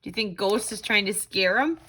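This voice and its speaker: A woman's voice: medium pitch, animated, normal speed, sharp tone, breathy edge. A woman tutor reading to children in a sing-song storytelling style, with chatty asides. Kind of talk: expressive read-aloud and craft lesson for children.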